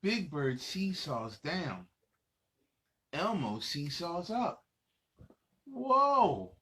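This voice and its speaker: A man's voice: fairly high pitch, big pitch swings, very slow, bright, forceful tone. A man reading picture books aloud to children as a host, in a casual, conversational way with asides to the young listeners. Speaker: children's story reader and host.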